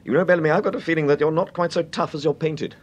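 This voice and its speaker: An actor with a British accent playing an urbane, composed gentleman detective in a radio drama, polite, clipped and assured. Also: steady tone, racing, muffled recording, natural voice. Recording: rumbly, noisy old radio recording.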